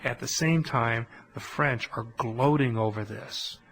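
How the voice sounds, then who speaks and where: A man's voice: mid-range voice, measured pace. Man telling history in colloquial style. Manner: animated storytelling, colloquial asides. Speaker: history lecturer on a podcast. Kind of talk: conversational history lecture.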